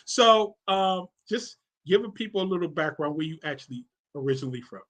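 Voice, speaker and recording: A man's voice: fairly high pitch, expressive, fairly quick, fairly bright tone. A man from New York hosting a radio show, chatting with a guest in a hyped, enthusiastic way. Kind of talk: casual interview chat.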